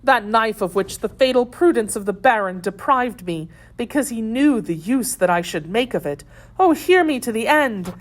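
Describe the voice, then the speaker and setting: A woman's voice: fairly high pitch, animated, moderate pace, pitched above the performer's own voice, natural voice. A woman playing a captive noblewoman who implores with feigned pious despair in a dramatic audiobook reading. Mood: frantic begging. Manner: melodramatic, imploring.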